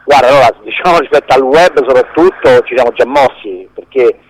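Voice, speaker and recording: A man answering as an interview guest in a halting, conversational way, repeating words and letting phrases trail off. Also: mid-range voice, dry recording, low-quality recording. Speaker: film producer, interview guest.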